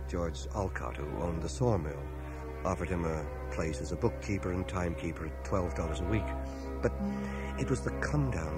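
A man looking back on his small-town youth in a radio play. The voice is lowish, with expressive, widely moving pitch and measured storytelling. Prominent music sits beneath it on an old cassette copy. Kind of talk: dramatic first-person radio narration. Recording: home cassette transfer, music underneath.

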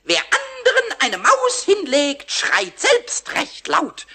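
A man voicing a small, mischievous, excitable kobold in a radio play, squeaky and stammering as he repeats his words. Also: slow pace, heavily acted. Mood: gleeful.

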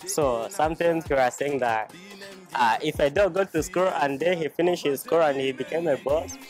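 A man's voice: on the high side, normal speed. A young man from Uganda looks back on school in an easygoing, plain way. He is recorded outdoors next to a house with faint music behind him. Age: young adult. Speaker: high school graduate. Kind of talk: casual testimonial.

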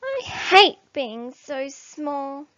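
A small young warthog boy from a children's storybook, acted out by a woman. The voice jumps high, plaintive and eager, with an exclamatory delivery. The recording is slightly dull. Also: sing-song, drawn-out words, a bit bright, no echo, heavily acted. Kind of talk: read-aloud children's storybook.

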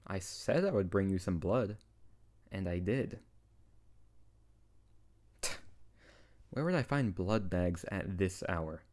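A man's voice: deep voice, lively intonation, measured pace, a little mellow. A man playing a young tsundere wizard who is bossy, exasperated and caring, in an intimate ASMR roleplay. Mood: grudgingly caring. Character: tsundere young male wizard, scolding but tender best friend. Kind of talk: intimate ASMR audio roleplay addressed to the listener.

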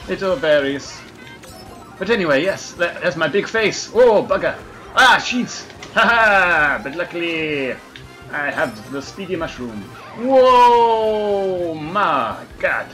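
A man doing comic gameplay commentary in a fake French accent, excitable and hammy, laughing at his own jokes. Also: high-pitched, slow, soft background music. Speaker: let's play gamer.

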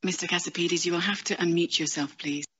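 A young woman with a slightly low voice steers a panel, polite and steady as she hands each speaker the floor. She speaks into a desk mic in a studio, and the stream audio sounds a little dull. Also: fast talker, breathy edge. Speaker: moderator. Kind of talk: moderating a panel. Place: conference studio. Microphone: desk microphone.

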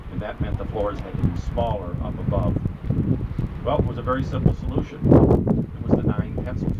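An older, retired man giving live architecture tour commentary on an open boat, telling it like a storyteller with dry humor. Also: medium pitch. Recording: distant muffled mic, loud wind and engine noise. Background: loud wind, outdoor city and boat noise.

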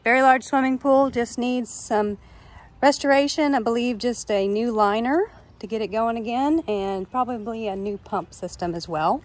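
A woman's voice: a little high, moderate pace. A woman working as a real estate agent, narrating an informal walk-through of a property. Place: walking through a house and its yard.

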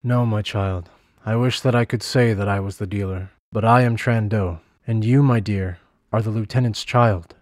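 A man playing a remorseful former soldier who speaks gravely and slowly. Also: fairly low pitch, steady tone, darkish tone, dry recording, studio quality, lightly acted. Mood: solemn. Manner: grave and slow.

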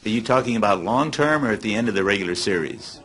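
An older man giving measured comments as a member of a panel. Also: on the low side, animated, a bit bright, slightly rough. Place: indoor hotel conference room. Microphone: table microphone on stand. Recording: faint noise in meeting room.

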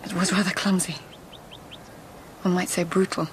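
An actress playing a poised, poetic court lady in a radio drama, refined and measured, at times hushed. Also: on the low side, flat delivery, slight background noise, natural voice. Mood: arch, probing. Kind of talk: radio drama acting, poetic narration and dialogue.

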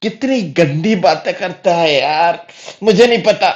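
A man's voice: high-pitched, expressive, unhurried, dull audio. A man playing an indignant middle-aged uncle in a comedy sketch, scolding and incredulous at a prank caller. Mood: exasperated.

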